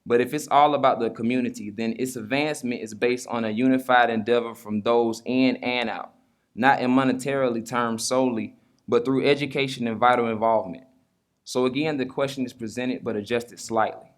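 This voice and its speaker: A man's voice: medium pitch, fairly even intonation, a little fast. A man delivering a formal prepared speech to close a conference talk. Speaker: conference speaker.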